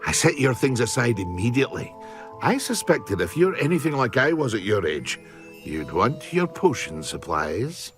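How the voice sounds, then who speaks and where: A man's voice: mid-range voice, very animated, moderate pace, music in the background, close to an everyday voice. An actor playing an eager, talkative male potion shopkeeper in a video game. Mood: enthusiastic. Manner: eager, talkative, fond of his craft.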